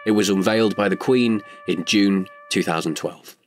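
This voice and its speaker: A man's voice: low voice, moderate pace, a little hiss or hum, lightly acted. A man delivering scripted, documentary-like narration in an audio drama.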